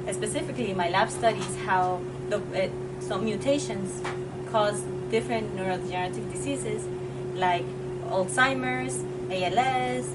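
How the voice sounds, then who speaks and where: A young woman from the Dominican Republic, a grad student giving a friendly, plain-spoken tour of her university lab. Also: mid-range voice. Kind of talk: casual explanatory lab tour. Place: university lab with benches and windows. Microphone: camera a few meters away. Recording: distant mic, clear background noise.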